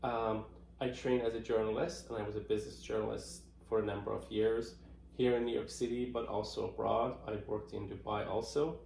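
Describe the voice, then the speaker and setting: A middle-aged man from Iran, with a fairly low voice that has a gravelly edge. He answers interview questions thoughtfully, often pausing on fillers and restarting his sentences. The recording picks up a noticeably roomy echo. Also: little pitch movement, a little mellow, slight background noise. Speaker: interviewee, marketing professional. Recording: roomy echoey room.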